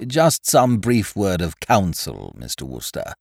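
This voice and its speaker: A man's voice: medium pitch, big pitch swings, unhurried, a little mellow, no echo, studio quality, voice pushed up. A man doing an icy, firm schoolmistress. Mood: cold, firm. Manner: firm, cold and clipped. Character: stern, icy middle-aged schoolmistress. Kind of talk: audiobook character voice.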